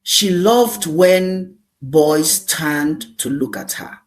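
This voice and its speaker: A woman narrating a scripted dramatic folktale as a storyteller, speaking deliberately in short, emphatic phrases. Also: low voice, expressive.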